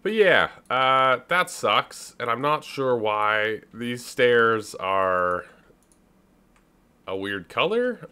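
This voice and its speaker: Lively deep-voiced man, casual gaming host. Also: clear, resonant tone. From Canada. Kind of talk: casual let's play commentary.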